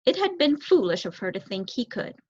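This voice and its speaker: A woman's voice: on the high side, sing-song, brisk, a little mellow, no echo. Young woman reading a story, muffled sound. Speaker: storybook reader, student. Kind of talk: scripted children's story narration. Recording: dull, lo-fi close recording.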